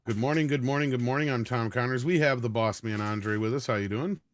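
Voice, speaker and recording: A man with a medium-pitched voice hosts a panel show. He speaks in a casual, conversational style, as in informal online chat. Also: quick pace, no echo. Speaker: show host.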